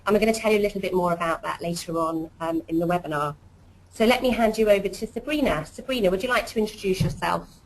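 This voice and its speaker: A woman hosting a corporate webinar as programme director, presenting warmly and chattily with frequent ums and uhs. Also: mid-range voice, quick pace, poor audio.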